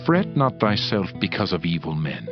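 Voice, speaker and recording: Deep-voiced man solemnly reading scripture over music. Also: wildly swooping pitch. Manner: solemn, measured, theatrical oratory. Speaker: audiobook narrator. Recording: dull lo-fi audio, music behind.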